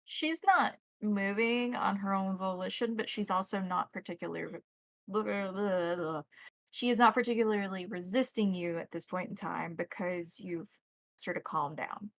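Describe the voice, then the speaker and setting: A woman's voice: mid-range voice, moderate pace, fairly bright tone, no echo. A woman narrating a tabletop roleplaying game as game master, checking back with her players as she goes.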